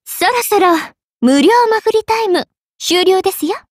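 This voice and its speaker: A woman's voice: extremely high pitch, expressive, moderate pace, no echo, studio quality. An actress voicing a playful, money-minded half-spirit young queen in a Japanese mobile game, coy and polite with a regal side. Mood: playful, teasing. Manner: coy, polite, sweetly drawling, sometimes solemn. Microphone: close studio microphone.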